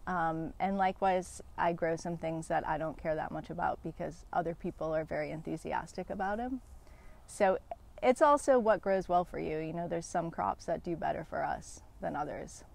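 A woman in her forties, a farmer, answering interview questions outdoors in a garden in a relaxed, candid way. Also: on the low side, moderate pace, creaky. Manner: relaxed and candid, with fillers and small laughs. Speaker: guest farmer.

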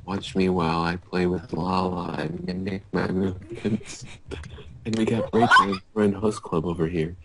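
A man's voice: low-pitched, fairly even intonation, moderate pace. A young man doing an amateur dramatic reading aloud among friends on an online group call, stumbling now and then over the wording. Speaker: fanfiction reader in a group call. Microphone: computer mic on a group internet call. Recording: hissy online group call, mouse clicks.

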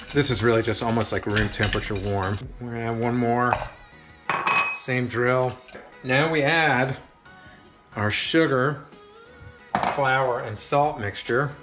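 A middle-aged man hosts a casual home cooking show and talks upbeat and chatty to the camera. His voice sits at an ordinary pitch for a man. It rings in a roomy, echoey kitchen while faint music plays underneath. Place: large home kitchen, indoors.

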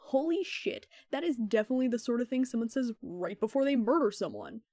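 A woman playing a sarcastic, laid-back young man with a deadpan, wry manner in a fan fiction podcast. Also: fairly high pitch, a little fast, no room sound, studio quality. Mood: mock shock.

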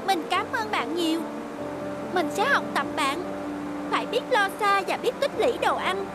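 A very high, childlike rabbit voice for a fairy-tale cartoon. The friendly little animal speaks over loud background noise. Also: female voice, lively intonation, moderate pace.

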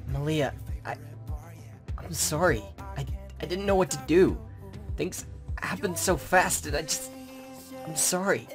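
A woman's voice: sits low, measured pace, pauses often, faint music behind. A woman acting an earnest, troubled young male werewolf in an amateur game roleplay. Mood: apologetic.

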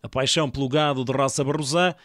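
A man's voice: mid-range voice, fairly even intonation, fast talker, fairly bright tone, dry recording, clean studio sound. A man with a European Portuguese accent reading scripted narration for a regional news report.

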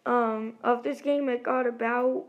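A woman's voice: a little high, steady tone, measured pace, phone-quality sound, background noise. A young woman hosting a gaming channel, rambling through a casual voice-over with many ums and restarts. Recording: loud game music behind. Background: loud music.

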